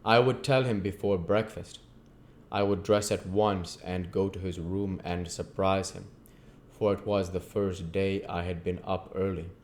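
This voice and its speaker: A male narrator with a low voice reads literary prose aloud. He delivers it in an audiobook style as the host of the reading. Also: steady tone, measured pace, slight background noise.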